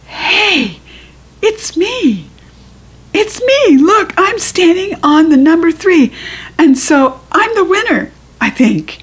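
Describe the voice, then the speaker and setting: A woman voicing an excitable, brash little girl from a children's storybook, speaking in emphatic, childlike bursts with mangled grammar. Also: very high-pitched, slow pace, pitched above the performer's own voice, exaggerated voice. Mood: thrilled. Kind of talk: children's storybook character reading.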